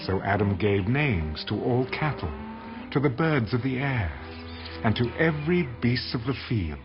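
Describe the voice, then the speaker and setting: A man narrating dramatized scripture in a solemn, measured manner. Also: mid-range voice, big pitch swings. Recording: dull audio under loud music.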